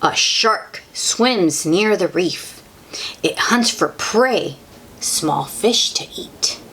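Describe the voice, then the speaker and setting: A woman's voice: lowish voice, expressive, extremely slow, a bit bright, slightly rough, rough recording. A woman reading a children's book aloud, adding simple comments and questions for young listeners in a child-directed, wondering manner. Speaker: host reading picture books aloud to children.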